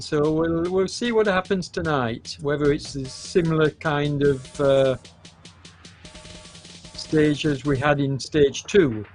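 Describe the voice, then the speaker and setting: A man with a cold commentating a live esports cycling race, hesitant and full of fillers, with a hoarse voice and occasional coughs. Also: on the high side, darkish tone. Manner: hesitant, many fillers, unhurried.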